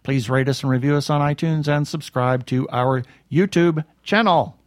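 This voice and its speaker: A man's voice: fairly high pitch, normal speed, no echo, studio quality. A man hosting a podcast, casually reading announcements and signing off. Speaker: podcast host. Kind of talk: casual webcast announcements and sign-off.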